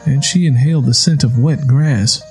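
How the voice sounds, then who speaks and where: A man's voice: medium pitch, normal speed, darkish tone, soft background music. A man reading a story aloud as scripted audiobook narration.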